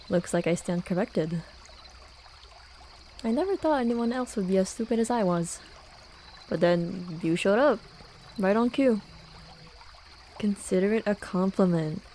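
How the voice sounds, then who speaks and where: A woman's voice: mid-range voice, mellow, a little hiss or hum, lightly acted. A young woman acting a playful, teasing student friend in a casual, intimate ASMR roleplay. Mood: amused, teasing. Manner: casual, teasing, with sighs and chuckles. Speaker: voice actor. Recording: running and trickling water behind voice. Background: hot spring water ambience.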